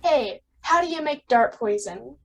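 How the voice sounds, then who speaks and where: Lady doing a whiny high voiced little brat kid, pushy and bloodthirsty, old radio show style. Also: animated, unhurried, creaky, muffled recording, a little hiss or hum, heavily acted. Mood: eager, pushy. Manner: whiny, demanding. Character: bratty, bloodthirsty little boy, pushy and defiant. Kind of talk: radio play acting.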